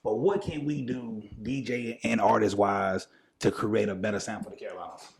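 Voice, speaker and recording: A man's voice: lowish voice, normal speed, creaky. A man with a roomy echo on his voice, acting as interviewer. He talks casually and agreeably in informal language. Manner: informal, agreeable, frequent affirmations.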